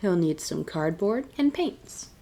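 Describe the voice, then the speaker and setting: A woman reading aloud as a children's storytime host, deliberate and expressive in her delivery. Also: on the low side. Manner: clear, deliberate picture-book reading with expressive emphasis. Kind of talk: read-aloud storytime narration.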